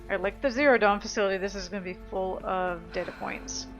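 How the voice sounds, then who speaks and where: A woman's voice: mid-range voice, fast, dull audio. A woman giving casual, offhand let's play commentary on a video game in an informal way. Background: faint game music.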